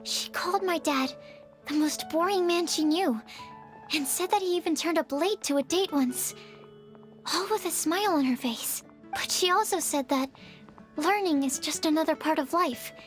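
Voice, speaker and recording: Giovane studiosa dalla voce acutissima. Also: female voice, very high voice, normal speed, soft background music. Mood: encouraging. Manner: gentle, earnest, hesitant. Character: shy, kind, earnest young female researcher. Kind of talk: video game voice acting.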